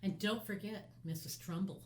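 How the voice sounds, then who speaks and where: A woman's voice: medium pitch, moderate pace. A woman co-hosting a casual chat, chiming in with folksy, emphatic remarks. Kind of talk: casual chat, short interjections. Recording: clear background room noise.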